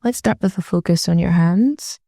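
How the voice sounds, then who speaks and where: A woman with a very dark, mellow voice that sits a little low and often settles into creak. She guides a meditation gently and soothingly, with unhurried phrases and long pauses. The sound is dry and close, with no sense of room. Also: no echo.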